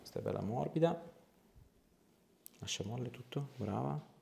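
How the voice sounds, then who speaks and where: A man, reassuring and informal as he gives a patient gentle instructions. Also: mid-range voice, expressive, measured pace, creaky, slight background noise. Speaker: chiropractor. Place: small clinic treatment room. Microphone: clip-on lapel mic.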